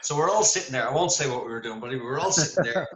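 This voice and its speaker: An Irish man chatting over a video call, telling lively anecdotes with self-deprecating humor. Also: mid-range voice, very fast, reverberant. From Ireland. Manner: animated storyteller, self-deprecating. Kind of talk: casual video-call interview.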